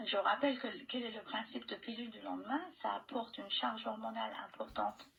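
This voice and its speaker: A woman's voice: fairly high pitch, fairly quick, vocal fry, a bit bright, rough recording. A woman doctor explaining medical advice as a radio guest, restating her points in a didactic way, on a shortwave broadcast.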